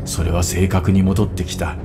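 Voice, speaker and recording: Man acting a deep, brooding anime bear warrior. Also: level tone, fast talker, close to an everyday voice. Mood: solemn, brooding. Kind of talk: Japanese dramatic anime monologue narration. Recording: game cutscene with music. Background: music.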